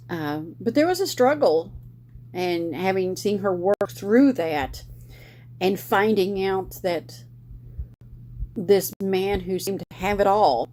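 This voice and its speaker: Low-voiced woman chatting about a book. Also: darkish tone, slight background noise. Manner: rambling, many fillers and restarts. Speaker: book club host. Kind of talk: casual book review chat.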